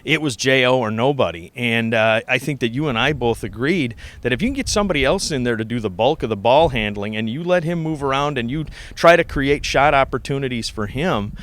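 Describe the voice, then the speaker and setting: Man, average pitch, radio sportscaster, chatty game analysis, stresses points by repetition. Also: animated, brisk, few pauses, fairly bright tone. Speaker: radio play-by-play sports announcer.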